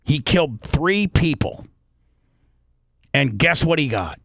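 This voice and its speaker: A man's voice: a little high, very animated, takes it slowly, gravelly, fairly bright tone, dry recording. A man hosting a talk show with heated, opinionated commentary, blunt and crude, repeating phrases for emphasis.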